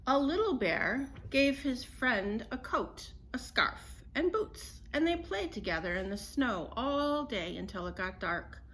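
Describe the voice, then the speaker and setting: A woman storyteller reading a children's picture book aloud in an expressive, unhurried way. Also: on the high side, rough recording.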